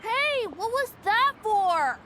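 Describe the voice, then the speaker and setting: A woman's voice: very high voice, expressive, takes it slowly, over music, full character voice. A woman voicing an indignant young child standing up for themselves in a video game. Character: indignant young kid defending a toy booth.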